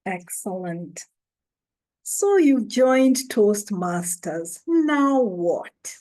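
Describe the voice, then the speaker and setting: Woman running an online orientation, slow and deliberate, video call mic. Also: mid-range voice, big pitch swings, a little mellow. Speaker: orientation host.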